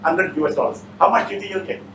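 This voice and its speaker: A man's voice: high voice, very fast, a bit bright. Malaysian or singaporean guy doing a seminar, keeps firing questions at people, muffled roomy recording with faint noise. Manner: blunt, interactive, repeatedly challenges listeners with questions. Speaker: seminar speaker. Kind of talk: motivational seminar talk, quizzing the audience. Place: indoor seminar room. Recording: echoey room, distant mic, muffled, faint noise.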